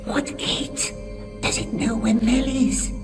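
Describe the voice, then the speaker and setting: A woman's voice: on the high side, fairly even intonation, slow pace, music in the background. A woman playing a sly, childish, wheedling creature in a video game, blurting short phrases and speaking of itself in the third person. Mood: suspicious, probing. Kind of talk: video game character acting.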